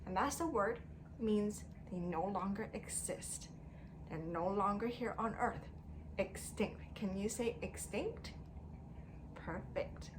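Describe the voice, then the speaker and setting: A woman teacher reading a story aloud to young children in an expressive way, using simple phrasing and putting questions to them. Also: medium pitch, takes it slowly, background noise. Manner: expressive, emphatic delivery.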